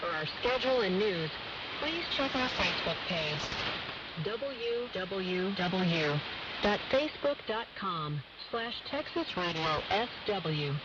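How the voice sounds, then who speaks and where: A woman's voice: medium pitch, lively intonation, slow. A woman radio announcer reading a scripted program sign-off, heard over shortwave radio. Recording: noisy, muffled, distant shortwave radio reception. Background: loud static and noise.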